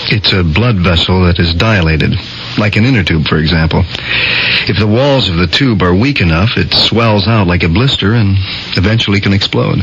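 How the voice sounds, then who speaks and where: An actor playing a frank surgeon in a radio drama, explaining things gravely and bluntly. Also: male voice, low voice, moderate pace, a bit bright. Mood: grave, explanatory. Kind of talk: 1970s radio drama acting. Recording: dull old radio recording, faint noise.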